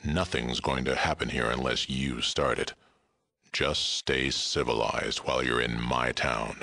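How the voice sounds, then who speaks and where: A very deep, gravelly mutant leader. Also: male voice, fairly even intonation, pushed and bright, heavily acted. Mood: stern, reflective. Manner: measured, blunt. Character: huge world-weary super mutant town leader, stern and dry. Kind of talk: video game character dialogue.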